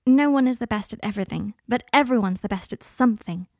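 A woman voicing a patient, mild-mannered male therapist, measured and reassuring, in audiobook dialogue. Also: a little high, dry recording, clean studio sound. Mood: gently probing.

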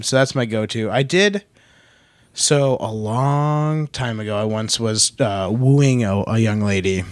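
A man co-hosting a casual podcast on a cruise, talking in a relaxed, hesitant, self-deprecating way. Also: on the low side, no echo. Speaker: podcast co-host. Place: aboard a cruise ship.

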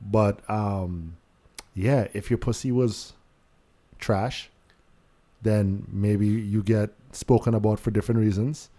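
A man's voice: lowish voice, steady tone, slow, dark tone. A man with a Trinidadian accent, co-hosting a casual podcast and telling stories in a laid-back way. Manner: laid-back, storytelling, laughs often. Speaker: podcast co-host.

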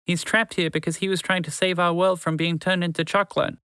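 A man's voice: high-pitched, flat delivery, brisk, pushed and bright, smooth, dry recording, clean studio sound, voice pushed up. A man voicing a small, earnest male mouse who pleads and talks a lot. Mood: pleading. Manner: pleading, talkative.

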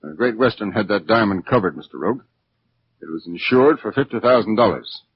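An actor playing a businesslike, formal insurance executive in a 1940s radio drama, on an old recording. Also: male voice, on the low side, expressive, fairly quick, highs cut off, a little hiss or hum, close to an everyday voice. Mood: grave, formal.

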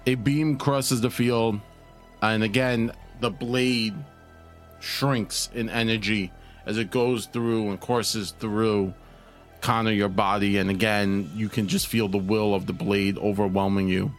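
A man narrating a tabletop roleplaying game as game master, in a measured, descriptive way with pauses. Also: lowish voice, level tone, soft background music.

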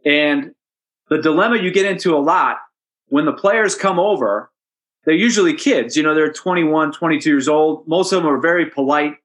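Man, hockey announcer, fairly high voice, relaxed interview talk, chatty storyteller. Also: moderate pace. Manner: chatty storyteller, amused, with restarts and asides. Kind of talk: relaxed interview answers, anecdotal.

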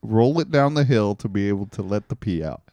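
A man co-hosting a podcast, spinning absurd bits with a deadpan, playful delivery in casual banter. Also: lowish voice, brisk, dry recording. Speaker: podcast co-host.

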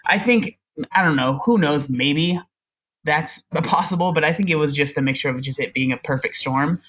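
A man's voice: fairly high pitch, moderate pace, a bit bright. A young man telling his story as a podcast guest, chatty and self-deprecating, often laughing. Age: young adult. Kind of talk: casual storytelling interview.

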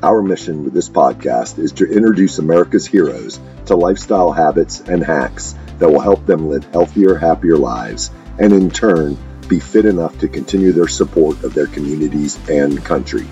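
A man with an extremely deep, dark voice reads a scripted podcast intro. The sound is muffled and faint music plays underneath. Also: lively intonation, normal speed, hardly any pauses. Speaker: show announcer.

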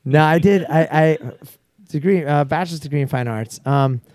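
A man's voice: on the high side, normal speed, a little mellow, no echo. A man in his forties chatting casually as a guest, laid-back and slangy, with many restarts. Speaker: guest skydiver. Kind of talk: casual chat.

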